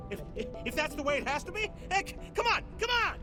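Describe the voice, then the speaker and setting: An actor playing an agitated, ruthless corporate official in a video game, stammering and jumpy. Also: male voice, very high voice, big pitch swings, fairly quick, music in the background. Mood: desperate. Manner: jittery, stammering, shouting. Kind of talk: dramatic video game acting.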